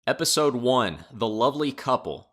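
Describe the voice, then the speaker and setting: Male arbiter, played by a man, audio drama. Mid-pitched, animated, courteous and questioning. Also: normal speed, loud music behind the voice, lightly acted. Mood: patient, baffled. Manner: formal, patient, questioning. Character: measured, puzzled estate arbiter hearing a dispute. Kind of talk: acted audio drama dialogue.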